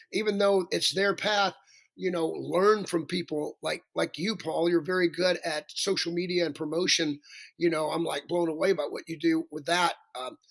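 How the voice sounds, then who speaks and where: A male singer with a raspy voice, chatting as a podcast guest, rambling and self-deprecating with many restarts. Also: a little high, fairly bright tone. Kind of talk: casual interview chat.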